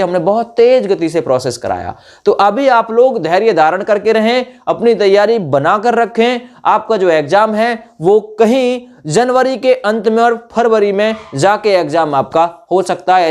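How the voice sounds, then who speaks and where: Young male online presenter, high-pitched voice, informal explainer addressing students, conversational and emphatic through repetition. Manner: conversational, repeats points for emphasis, uses tag questions. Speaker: exam-news YouTube presenter.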